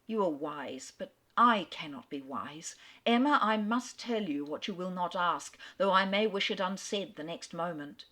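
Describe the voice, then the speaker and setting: A woman reading the lines of an earnest gentleman who halts, then declares his love with solemn urgency. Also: on the low side, animated, unhurried, hardly any pauses, exaggerated voice.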